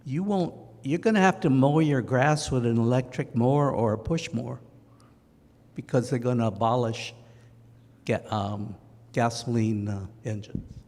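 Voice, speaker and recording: Slow, halting man on a panel. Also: mid-range voice, darkish tone. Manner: halting, searching for words. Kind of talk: panel discussion. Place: church sanctuary. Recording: long church-hall reverb.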